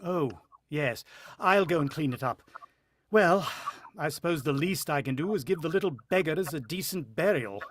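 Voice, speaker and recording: A man's voice: fairly high pitch, expressive, normal speed, a bit bright, slight background noise. An actor playing a proud, short-tempered, condescending husband who also narrates his ordeal in a radio drama. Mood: irritated, uneasy. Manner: condescending, irritable. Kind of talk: radio drama acting with first-person narration.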